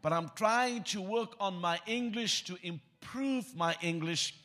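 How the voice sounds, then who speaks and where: A man's voice: high voice, unhurried, fairly bright tone. A man from South Africa whose first language is Afrikaans, preaching a sermon with joking asides and reading scripture aloud. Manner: joking, self-deprecating asides.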